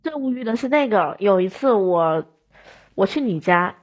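A woman's voice: mid-range voice, expressive, normal speed, dull audio. A woman chatting casually as a podcast guest, with a teasing, bantering manner. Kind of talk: casual chat.

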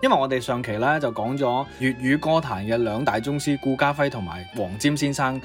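A man's voice: mid-range voice, fairly quick. A man co-hosting a radio programme in casual, conversational chat. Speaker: radio co-host. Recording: faint music behind the voice. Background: music.

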